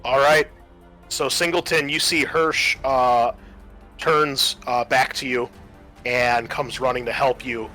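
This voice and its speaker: A man's voice: medium pitch, fairly even intonation, slow, sharp tone, faint music behind, rough recording. A man acting as game master, narrating a tabletop game and explaining things conversationally.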